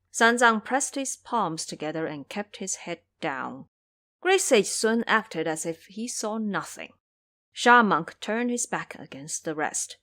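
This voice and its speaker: Animated woman narrating a dramatic story. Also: medium pitch.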